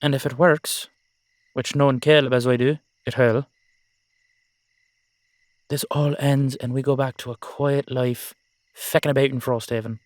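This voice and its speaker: A man's voice: medium pitch, flat delivery, measured pace, pauses often, dry recording, studio quality, lightly acted. A man acting an earnest, loyal old Irish friend in an audio roleplay, emphatic, coaxing and salty-tongued. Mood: reassuring.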